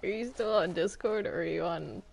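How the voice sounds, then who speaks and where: A woman's voice: lowish voice, moderate pace, creaky, darkish tone, slight background noise. A woman playing a multiplayer game, chatting casually and partly in character. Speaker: player in a Minecraft roleplay. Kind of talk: casual in-character gaming chat.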